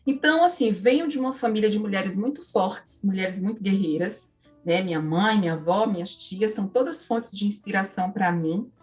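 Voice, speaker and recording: A woman's voice: mid-range voice, normal speed, darkish tone, recorded from a distance, faint music behind. A woman with a Northeastern Brazilian accent telling personal stories in a conversational interview, reflective and often adding little tags for agreement. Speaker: interview guest, psychology professor.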